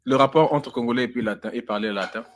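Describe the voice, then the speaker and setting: A man's voice: mid-range voice, fast, slight background noise. A man in a live online debate who mocks his opponent and keeps pressing him with questions. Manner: teasing, mocking, insistent questioning.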